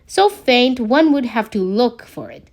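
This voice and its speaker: A woman reading a scripted story aloud as a narrator, in an expressive storytelling way. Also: fairly high pitch, measured pace. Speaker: podfic narrator reading fan fiction.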